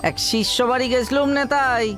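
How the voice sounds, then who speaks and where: A henpecked old village priest, weary and self-pitying, as a man acts him out. His voice sits very high for a man, and his talk runs to plaintive grumbling. Faint music plays behind him in this amateur radio play. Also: fairly even intonation, normal speed, a bit bright. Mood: complaining.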